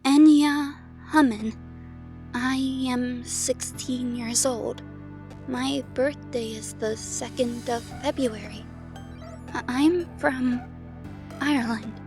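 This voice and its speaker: A woman's voice: on the high side, steady tone, slow pace, over music. A woman playing a shy, anxious sixteen-year-old girl in an audio drama, hesitant and stammering. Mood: timid, nervous.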